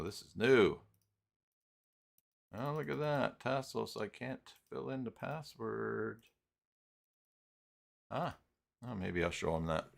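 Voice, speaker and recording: Slow, deep-voiced man with gravelly creak. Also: a bit bright. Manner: thinks aloud, muttering and humming filler syllables between steps. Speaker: live stream host and web tutorial presenter. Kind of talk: casual unscripted screen-share software tutorial.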